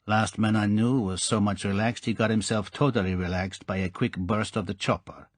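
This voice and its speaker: A man voicing a cold, soft-spoken male mobster. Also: lowish voice, animated, unhurried, breathy edge, dry recording. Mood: quietly threatening. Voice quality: soft, chilling.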